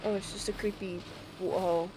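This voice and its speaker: A woman's voice: mid-range voice, level tone, fast, background noise. A woman game streamer giving casual, wry live commentary and thinking aloud as she plays.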